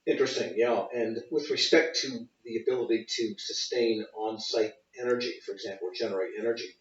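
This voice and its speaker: A man's voice: lowish voice, fairly even intonation, a little fast. A man interviewing in a conversational way, curious and plain-worded. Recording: echoey, distant, dull sound.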